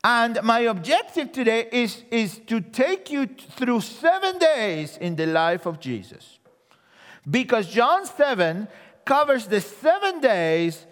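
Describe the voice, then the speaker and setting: A man with an Argentine accent preaches in a lively, sing-song voice that sits high for a man. His sermon runs through funny stories, and he drops his voice low and quiet for each punchline. The sound carries the long reverb of a church hall. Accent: Argentine Spanish. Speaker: guest preacher.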